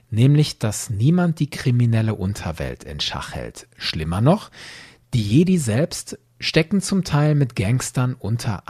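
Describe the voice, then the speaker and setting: Mellow, husky male podcast host. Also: mid-range voice. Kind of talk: scripted explanatory narration.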